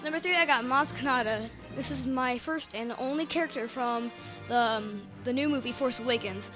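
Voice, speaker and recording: A woman with a highish voice gives her take in casual fan chat. She speaks haltingly, doubling back on phrases and leaning on filler words. Soft music runs underneath. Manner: halting, repeats phrases, heavy use of "like". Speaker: podcast panelist.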